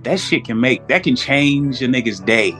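A man's voice: medium pitch, animated, moderate pace, fairly bright tone, soft background music. A man with an American accent talking casually as a podcast guest, with plenty of slang and swearing.

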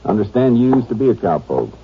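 An American actor playing a shrewd, laconic Texas Ranger in a 1950s radio drama, terse and matter-of-fact. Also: male voice, lowish voice, big pitch swings, fast talker, slight background noise. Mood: casually probing. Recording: muffled old radio recording, faint music.

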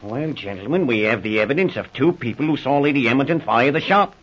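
Bullheaded male police officer, husky, blunt and rustic in his grammar, 1940s radio serial, muffled old recording. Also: medium pitch, expressive, fast talker, a bit bright. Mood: insistent. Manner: blunt, indignant, rustic ungrammatical speech. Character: stubborn, blustering policeman eager to charge the suspect. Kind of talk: 1940s radio drama acting.

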